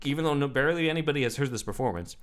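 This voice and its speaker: A man's voice: medium pitch, lively intonation, rapid-fire, clear, resonant tone. A man hosting a casual podcast, explaining comic-book trivia with lots of fillers. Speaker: podcast host.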